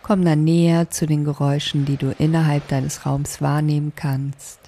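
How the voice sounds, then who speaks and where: Woman doing yoga nidra sleep meditation, soft calming voice, dark tone with lots of fry. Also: low-pitched. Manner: soft, calming, deliberate instruction.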